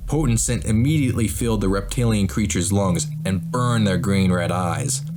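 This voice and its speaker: A man reading a scripted adventure story as an audiobook narrator, in a dramatic storytelling style. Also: fairly low pitch. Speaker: author narrating his own audiobook. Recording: clear background noise and ambience. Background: sound-effect ambience.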